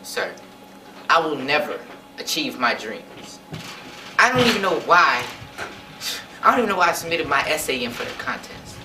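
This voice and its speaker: A woman's voice: deep voice, very animated, normal speed, pauses often. A woman playing a despairing, discouraged youngster in a stage play, dramatic and despondent. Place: theater stage. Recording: echoey stage, picked up from far off.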